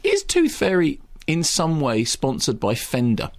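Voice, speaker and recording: Gravelly-voiced man chatting about films. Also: a little high, wildly swooping pitch, unhurried, no echo. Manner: opinionated, rambling with restarts and wry asides. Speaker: film critic. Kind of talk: casual radio film review chat.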